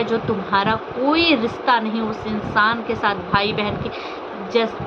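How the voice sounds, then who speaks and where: A woman vlogger gives unscripted, scolding commentary in a mid-pitched voice. She sounds indignant and often puts rhetorical questions to the listener. The sound is muffled and lo-fi, with very loud vehicle and ambient noise around her. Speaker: social media vlogger commenting on online drama. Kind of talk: unscripted opinion commentary on a personal scandal.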